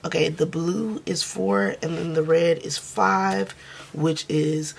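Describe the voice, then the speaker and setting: Hoarse, gravelly-voiced woman counting cash. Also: animated, slow pace, a little hiss or hum. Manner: soft, low-key, counting under her breath. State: losing her voice, hoarse. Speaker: budgeting vlogger. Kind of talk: casual cash-stuffing vlog, counting money aloud.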